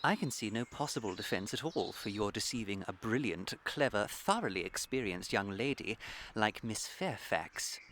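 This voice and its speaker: A man's voice: fairly low pitch, lively intonation, normal speed, fairly bright tone, slight background noise. A man with a British accent playing a witty, unrepentant young Victorian dandy in a radio comedy, with a flippant, theatrical manner. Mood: breezy, teasing. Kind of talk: scripted radio comedy acting.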